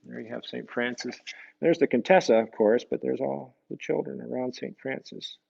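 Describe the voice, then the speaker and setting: A man reading a picture book to children, gently explaining it and often tagging questions onto his remarks. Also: medium pitch, moderate pace, gravelly, slightly rough, dull audio. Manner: gentle, explanatory, asks the listeners tag questions. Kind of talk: storybook read-aloud with conversational asides.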